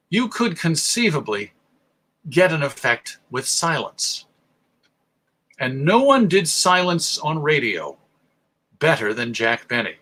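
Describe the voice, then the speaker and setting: An American man presents a history talk in a bright, forceful voice pitched a little high. He tells stories deliberately, landing key points with emphasis and dramatic pauses. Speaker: presenter, radio show host.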